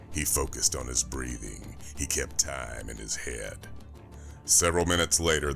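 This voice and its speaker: A man narrating a science fiction thriller audiobook from a script, in a measured, dramatic way. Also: very deep voice, fairly bright tone.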